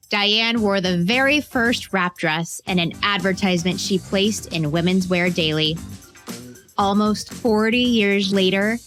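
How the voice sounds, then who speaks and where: A woman narrates a scripted story with deliberate emphasis and dramatic pauses. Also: medium pitch, a bit bright. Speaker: narrator and host of a children's biography podcast. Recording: music bed behind the voice.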